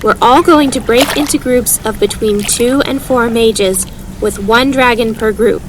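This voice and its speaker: A woman's voice: fairly high pitch, measured pace, a little hiss or hum, natural voice, low-quality recording. A woman playing a determined, earnest young female mage leader in an audio drama, calling out firm instructions to a group. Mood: firm, instructing.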